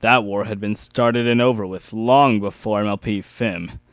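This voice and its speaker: A man's voice: fairly low pitch, steady tone, moderate pace, no echo. Young man reading a story, dry sound. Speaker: amateur story reader. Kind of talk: dramatic reading of first-person fan fiction.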